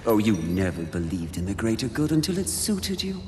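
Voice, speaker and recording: An actor playing a suave, seductive demon of sin in a video game, with silky, theatrical, taunting delivery. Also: male voice, lowish voice, sing-song, brisk, music in the background. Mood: taunting, probing. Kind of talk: video game cutscene acting. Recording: echoey hall reverb, faint noise.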